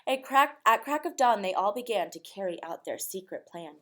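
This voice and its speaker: A woman reading a rhyming picture book aloud expressively, in a measured sing-song rhythm. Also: medium pitch, lively intonation, sharp tone.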